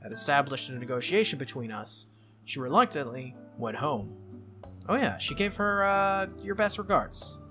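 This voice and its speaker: A brisk teenage schoolgirl from an anime visual novel, acted out by a playthrough reader. She is confident and needling, with a bright, swooping delivery over faint music. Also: medium pitch, animated. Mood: matter-of-fact.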